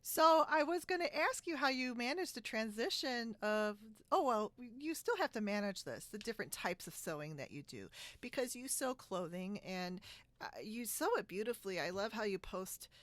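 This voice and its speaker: A woman hosting a podcast in a casual video-call chat, talking in a halting, giggly, chatty way. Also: a little high, lively intonation, a bit bright, no room sound. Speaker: podcast host. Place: indoors on a split-screen video call.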